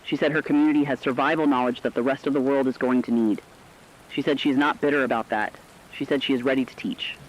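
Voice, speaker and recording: A man playing a reflective, compassionate radio correspondent, delivering a scripted essay in an earnest, deliberate way. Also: mid-range voice, fairly even intonation, close to an everyday voice, poor audio. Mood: earnest, somber. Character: reflective, compassionate male radio correspondent during a global crisis. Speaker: radio commentator.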